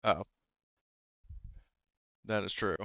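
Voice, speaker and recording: A man's voice: fairly low pitch, very slow, a bit bright, no echo. A man running a tabletop game as game master, explaining things casually in a hesitant, rambling way.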